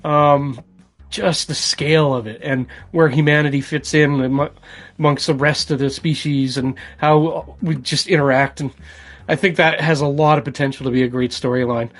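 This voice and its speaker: A man's voice: fairly high pitch, steady tone, normal speed. A man co-hosting a casual chat about video games. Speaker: podcast co-host.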